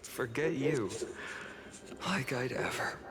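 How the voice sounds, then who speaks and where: Slow-spoken earnest young hero. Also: male voice, mid-range voice. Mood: fond, confident. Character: earnest young male hero swordsman, resolute, gentle. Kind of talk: anime game voice acting. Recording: loud music behind.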